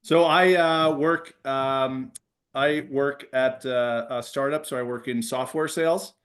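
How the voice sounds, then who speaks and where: A middle-aged man talking as a guest, telling stories in an informal, halting way. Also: mid-range voice, fairly even intonation, fairly bright tone. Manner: informal, halting, quotes dialogue. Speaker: guest, former relief pitcher. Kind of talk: casual anecdote.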